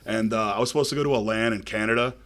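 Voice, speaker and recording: A young man with a deep voice, a podcast guest telling stories in a relaxed, casual way with many fillers. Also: racing.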